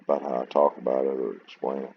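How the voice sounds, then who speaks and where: A middle-aged man with a very low voice, casually and plainly talking through a wargame replay and rambling as he goes. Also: little pitch movement, quick pace, slightly rough, highs cut off. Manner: rambling, plainspoken, self-deprecating. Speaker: gameplay video host.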